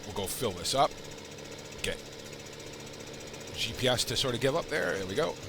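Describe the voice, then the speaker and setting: A man's voice: medium pitch, animated, moderate pace. A man with a congested, sick-sounding voice, chatting in a rambling way while he plays and comments on a farming game. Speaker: gaming channel host. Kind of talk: casual let's-play commentary. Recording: loud game engine noise underneath.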